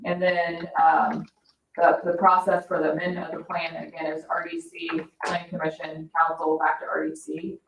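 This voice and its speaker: A woman's voice: sits low, monotone, moderate pace, creaky. A woman explaining matters in a businesslike way as a financial advisor at a public meeting. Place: indoor municipal meeting room. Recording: echoey meeting room, distant mic, dull, faint noise.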